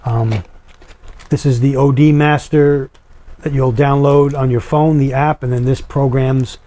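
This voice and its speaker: A middle-aged man giving an unscripted product demonstration in a matter-of-fact, explanatory, somewhat halting way. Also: mid-range voice, fairly even intonation, dark tone. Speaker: product reviewer.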